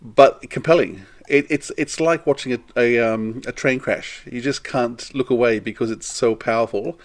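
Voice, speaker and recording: A man co-hosting a podcast in casual conversation, thinking aloud in a halting way. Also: mid-range voice. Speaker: podcast co-host.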